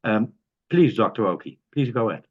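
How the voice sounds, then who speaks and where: Male webinar host, lowish voice, hesitant delivery, dull lo-fi call audio. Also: animated, moderate pace, husky. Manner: hesitant, many fillers and restarts. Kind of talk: hosting a webinar. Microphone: video call.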